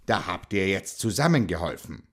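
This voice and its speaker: A man's voice: low-pitched, animated, normal speed, breathy edge. A man voicing a friendly, curious talking dog who hosts a children's radio show and asks kindly, simple questions.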